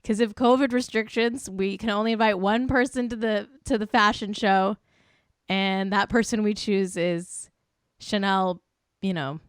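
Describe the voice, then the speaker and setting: A woman's voice: mid-range voice, fairly even intonation, measured pace, clean tone, no echo, studio quality. A woman co-hosting a casual chat show, giggly and chatty, swearing now and then. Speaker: co-host.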